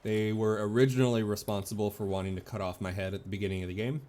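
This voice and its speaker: A man's voice: on the low side, fairly even intonation, fast, warm tone. A man streaming a video game with casual, playful and sometimes sweary commentary.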